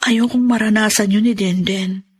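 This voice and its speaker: A woman acting in a Filipino radio drama as a forgiving, emotional elder sister and grieving wife, speaking earnestly and deliberately. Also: mid-range voice, steady tone, breathy edge, lightly acted. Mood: tearful, reflective.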